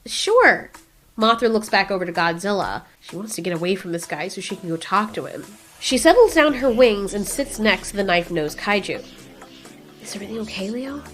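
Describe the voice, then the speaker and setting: Female storyteller for scripted audio drama, mid-pitched voice, soft music bed. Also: animated, fairly quick, hardly any pauses. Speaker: narrator.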